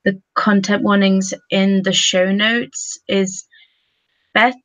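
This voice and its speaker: A woman talking casually on a discussion panel, halting as she thinks aloud. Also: medium pitch, fairly even intonation, fairly bright tone, no echo. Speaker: podcast panelist. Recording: dull lo-fi sound.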